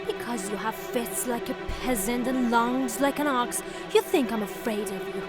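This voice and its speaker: Woman playing a high-voiced, haughty, fiery widow. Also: moderate pace, over music, natural voice. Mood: scornful, furious. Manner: haughty, declamatory, theatrical. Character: proud, fiery, defiant widowed lady. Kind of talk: theatrical audio drama acting.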